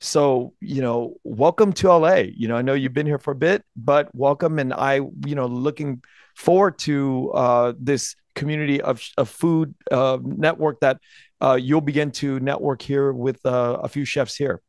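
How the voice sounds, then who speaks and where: A man's voice: medium pitch, no room sound. A man in his forties hosting an interview podcast, speaking earnestly with frequent restarts into a close microphone. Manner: earnest, halting, many restarts. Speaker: podcast host. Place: home studio room with bookshelves. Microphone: headphones and studio mic close up.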